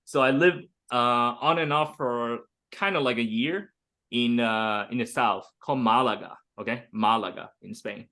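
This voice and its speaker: A man's voice: mid-range voice, normal speed, sharp tone. Man from Hong Kong, thirties, video-call English teacher, loose and chatty with lots of "like" and "uh". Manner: chatty, many fillers and restarts. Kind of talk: online group lesson.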